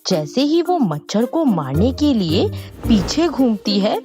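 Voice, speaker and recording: A woman narrating a scripted family story as an expressive storyteller. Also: a little high, takes it slowly. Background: background music.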